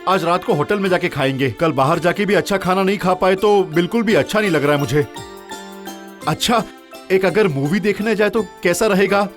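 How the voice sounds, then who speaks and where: A high, eager cartoon husband, voiced by a man, who trips over his own words in quick repeats when something goes wrong. Faint music plays underneath. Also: natural voice. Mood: cheerful. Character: excitable young husband who stammers when flustered. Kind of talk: animated cartoon dubbing.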